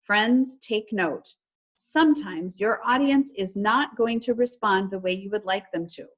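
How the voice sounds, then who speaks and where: A woman voicing a sensible, frank girl who leads her young friends in a children's story, firm and matter-of-fact. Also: mid-range voice.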